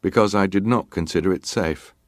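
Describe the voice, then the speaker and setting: A man's voice: deep voice, a little fast, dry recording, studio quality, close to an everyday voice. An actor playing a stoic ship's officer giving testimony at a formal hearing, clipped and matter-of-fact in his answers. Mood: stoic, terse. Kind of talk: dramatized reading of hearing testimony.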